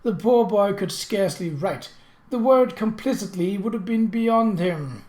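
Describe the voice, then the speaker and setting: A narrator voicing an imperious, mocking British prince in an audiobook, pompous and theatrical, with deliberate, drawn-out emphasis. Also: medium pitch, animated, darkish tone, echoey room, voice pushed up, close to an everyday voice. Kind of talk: audiobook character voice.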